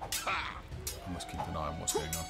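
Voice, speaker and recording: Low, gravelly man demoing a game. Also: little pitch movement, moderate pace. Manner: hesitant, thinking aloud while playing. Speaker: game developer presenting. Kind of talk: casual live gameplay demo commentary. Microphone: headset. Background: game music.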